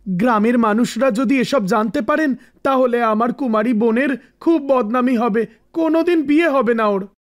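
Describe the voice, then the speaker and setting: A man's voice: extremely high pitch, normal speed. A man playing a worried, protective village brother in a cartoon story, earnest and imploring. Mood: anguished, pleading. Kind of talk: dramatized Bengali cartoon storytelling. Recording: background music behind voice.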